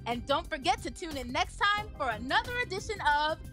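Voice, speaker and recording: Female radio presenter, perky and theatrical, high animated voice, light music behind. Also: brisk. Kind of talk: scripted comic radio-show announcing.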